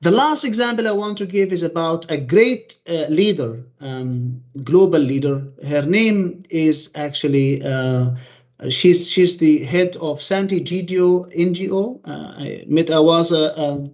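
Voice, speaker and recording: Guy presenting at a conference over a call, higher voice, lots of uhs and restarts, muffled dull audio. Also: a little mellow. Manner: halting, many fillers and restarts.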